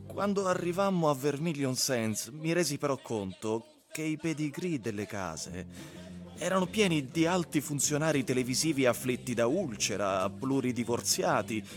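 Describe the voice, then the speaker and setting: An actor playing a wry husband who tells his house-hunting story as measured first-person narration in a radio drama. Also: mid-range voice, lively intonation, faint music behind, close to an everyday voice. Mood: wry, reflective.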